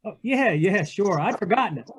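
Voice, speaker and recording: An actor playing a wry, impatient private detective in a 1940s radio drama, terse and sardonic. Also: male voice, high-pitched, lively intonation, normal speed, husky. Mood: dry. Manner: terse, sardonic, hard-boiled. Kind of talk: 1940s radio drama read-through with narration. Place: video call read-through.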